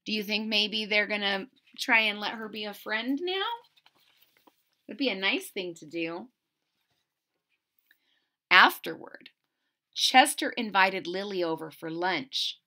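A woman reading a picture book aloud expressively, pausing to explain and ask young listeners simple questions. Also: medium pitch. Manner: animated, explanatory, addressing children with questions. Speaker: reader of a children's picture book.

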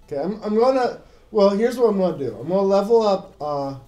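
A man's voice: high voice, lively intonation, brisk, mellow, faint music behind. Young man, tipsy, joking, rambling game banter, echoey room. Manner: joking, rambling, laughs often. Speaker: game commentator. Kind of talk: drunk casual let's play banter.

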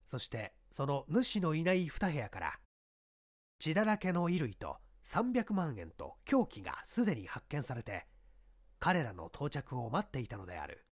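A man's voice: fairly high pitch, wildly swooping pitch, moderate pace. A man reading a detective story aloud as a scripted literary narration. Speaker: audiobook narrator.

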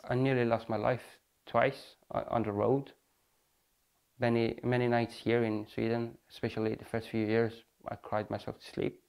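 Soft-spoken young man in a sit-down interview. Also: mid-range voice, flat delivery, normal speed, gravelly, smooth. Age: 20s. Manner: soft-spoken, reflective, with fillers. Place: apartment living room indoors. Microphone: lapel mic.

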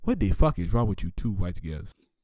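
A man's voice: sits low, very animated, moderate pace, mellow, faint music behind. A man voicing a pompous, domineering African king with a put-on African accent, ordering and insulting people in repetitive broken English. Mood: threatening. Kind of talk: improvised prank character on random video chat.

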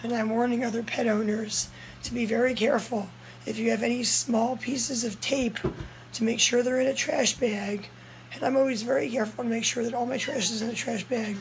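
A young woman, hoarse and a little strained, talking straight into a phone held close. Her voice sits fairly high, and a faint hum runs underneath. She tells her story in a rush, urgent and breathless. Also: steady tone, fairly quick, low-quality recording. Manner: breathless, urgent retelling of a scare. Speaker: cat vlogger. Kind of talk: casual vlog storytelling. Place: small red-walled room indoors. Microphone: phone camera held close, low angle.

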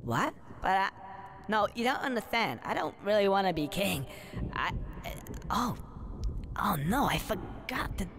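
A man's voice: high voice, sing-song, measured pace, creaky, noisy background, heavily acted. An actor voicing a cheeky young male squirrel in a video game, talking in a dry, sardonic way. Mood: flustered. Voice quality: wry, sardonic. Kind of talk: video game cutscene acting. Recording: game audio with loud score behind.

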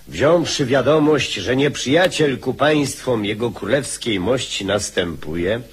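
A man playing a formal chronicler of a war in a radio drama, with a husky voice. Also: mid-range voice, full character voice. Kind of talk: scripted radio drama narration.

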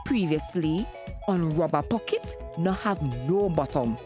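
A woman's voice: on the low side, animated, a little slow. A woman announcer reading scripted sponsor and programme announcements. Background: music.

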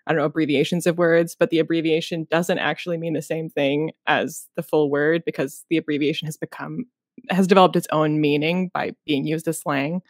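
Young woman host on a video call, dry sound, chatty, thinks out loud. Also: low voice, flat delivery, brisk, dry recording. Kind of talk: casual interview chat. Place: home room with bookshelves.

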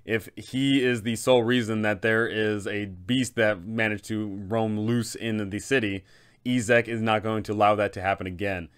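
A man's voice: fairly low pitch, fairly even intonation, normal speed, clean tone. A man in his thirties hosting a guide for game masters, explaining things in an emphatic, methodical way. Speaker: host, game master giving advice. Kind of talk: explanatory guide to a tabletop adventure.

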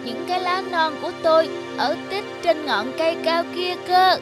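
A woman playing a small, naive, excitable caterpillar in a cartoon fairy tale, exclaiming in a childlike way. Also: very high voice, moderate pace. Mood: weary, distressed. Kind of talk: animated fairy-tale dubbing. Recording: music playing behind the voice.

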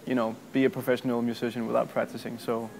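A Danish man in his thirties, a violinist, answering interview questions in a relaxed, good-humoured way. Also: mid-range voice, fast talker, creaky, faint music behind, rough recording. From Denmark. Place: museum gallery.

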